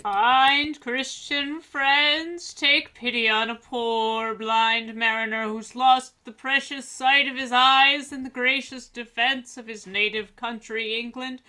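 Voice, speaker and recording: A woman's voice: fairly high pitch, sharp tone. A woman playing a menacing old blind beggar sailor in a radio play reading, with a fawning manner that can turn threatening. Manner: slow, fawning turning threatening.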